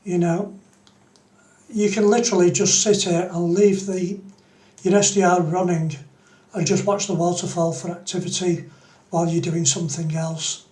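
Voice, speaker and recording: A man's voice: high-pitched, level tone, normal speed, long pauses, darkish tone, echoey room. A middle-aged man, giving an informal explanatory tutorial as a hobbyist. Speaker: amateur radio hobbyist presenting a tutorial.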